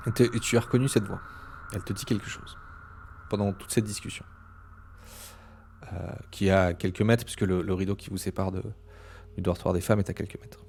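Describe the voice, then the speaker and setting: A man narrating a tabletop role-playing game as game master in a relaxed, halting way. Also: low voice, a little hiss or hum.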